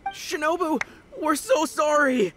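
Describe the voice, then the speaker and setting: A woman's voice: high-pitched, animated, takes it slowly, noisy background. A woman playing a timid, anxious young male gang underling in a video game. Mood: apologetic, scared.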